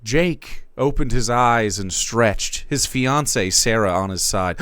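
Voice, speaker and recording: A man streaming, reading fan fiction aloud in a theatrical, comic way, shifting between hushed and loud. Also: medium pitch, sing-song, slow pace, no room sound, clean studio sound.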